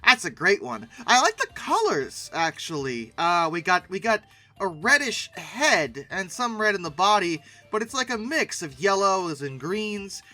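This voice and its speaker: A man's voice: high voice, very animated, measured pace, bright, forceful tone. A man giving casual, humorous commentary in a theatrical way, repeating words and adding mock-exasperated asides. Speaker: host reviewing old paleoart.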